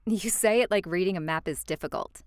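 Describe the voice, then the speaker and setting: An actress playing a tough, confident young woman on a mission in a visual novel game, speaking in an assertive, brisk manner. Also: on the low side, clear, resonant tone, dry recording, natural voice. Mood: confident, teasing.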